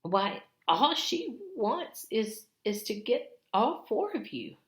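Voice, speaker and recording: A woman's voice: fairly high pitch, very animated, takes it slowly, reverberant, voice pushed up. A woman voicing a fretful, urgent older female beaver from a storybook, warning with great emphasis.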